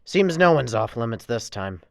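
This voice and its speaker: Weary police chief, medium pitch, earnest, emphatic, radio drama, dry studio sound. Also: male voice, big pitch swings, moderate pace, vocal fry, no room sound.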